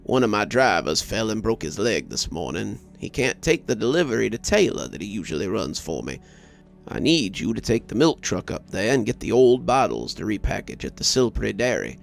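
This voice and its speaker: A man voicing a gruff, businesslike boss of a trucking outfit, blunt and plain-spoken, in a story reading. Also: lowish voice, very animated, normal speed, faint music behind, voice pushed down. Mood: businesslike, urgent.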